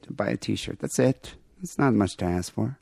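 A man's voice: on the low side, moderate pace, creaky, dark tone, husky, no echo, clean studio sound. A man co-hosting a podcast, rambling casually with crude, irreverent jokes and show plugs. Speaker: podcast co-host.

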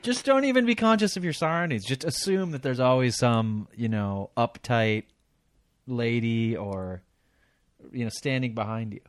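A man's voice: mid-range voice, lively intonation, normal speed, no room sound, studio quality. A man talking bluntly and sarcastically, with plenty of swearing, in a casual podcast chat. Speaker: podcast co-host.

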